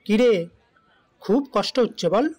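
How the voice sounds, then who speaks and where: A man's voice: sits high, animated, slow, a little mellow, full character voice. A man playing a teasing, cheeky younger cousin in an audio story.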